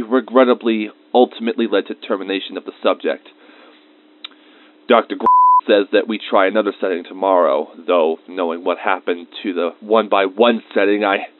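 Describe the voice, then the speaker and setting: A man's voice: on the low side, no echo, lightly acted. A man playing a research scientist dictating a confiding, emotionally involved audio diary of lab logs. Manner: confiding, dictated log entries with emotional asides. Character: anxious male research scientist recording lab logs, increasingly shaken. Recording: phone-like thin band-limited sound.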